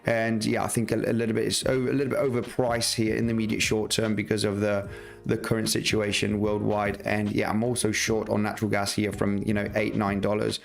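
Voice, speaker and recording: A man with a gravelly, slightly rough voice hosts a live trading stream. He talks loosely through his charts, full of fillers and restarts. Faint music plays softly behind him. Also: on the low side, completely flat delivery, a little fast. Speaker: market analysis livestream host.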